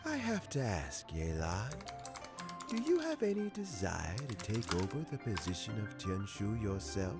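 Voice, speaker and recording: A courteous elderly male advisor in a video game. His voice is deep and formal, with very expressive intonation and deliberate phrasing. Music plays clearly behind him. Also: full character voice. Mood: polite, probing.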